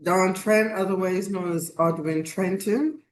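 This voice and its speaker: A woman's voice: medium pitch, unhurried, slight background noise. A woman hosting an online meeting, calling on each participant in turn and stumbling over a few names. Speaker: host. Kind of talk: online meeting roll call. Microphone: video call.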